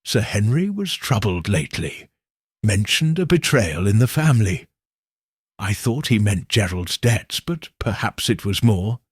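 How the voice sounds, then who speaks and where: A man's voice: on the low side, sing-song, unhurried, slightly rough, no room sound, clean studio sound, full character voice. A man voicing a sorrowful village vicar in an audiobook, sombre and confiding. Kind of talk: audiobook character reading.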